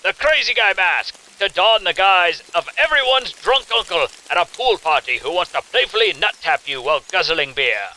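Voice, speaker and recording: Creepy festival host, audio drama: high-set, very animated, mock-polite showman full of gleeful menace. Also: normal speed, few pauses, a little hiss or hum, full character voice, poor audio.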